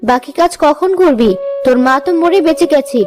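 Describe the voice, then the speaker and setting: A cruel village stepmother played by a woman from a cartoon moral tale. Her voice is high, and she is harsh, bossy and forever nagging. Music plays clearly behind her. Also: a little fast, natural voice. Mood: scolding. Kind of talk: cartoon moral-story dialogue.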